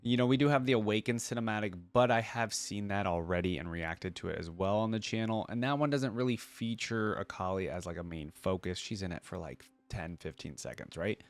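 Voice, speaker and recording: Low-voiced man giving casual reactions. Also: fairly quick, hardly any pauses. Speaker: reaction channel host. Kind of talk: casual off-the-cuff reaction commentary.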